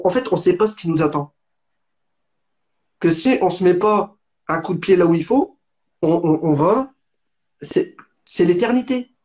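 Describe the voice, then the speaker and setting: High-voiced young man in rambling discussion. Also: darkish tone. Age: 20s. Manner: rambling, searching for words, many restarts. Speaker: interviewer. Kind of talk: conversational religious discussion.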